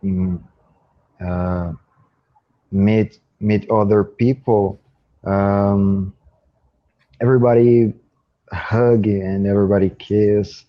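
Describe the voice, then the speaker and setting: Hesitant young Brazilian man, very slow English. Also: on the low side, flat delivery, highs cut off. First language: Portuguese. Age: young adult. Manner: hesitant, searching for words. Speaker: English student. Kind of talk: halting conversation practice.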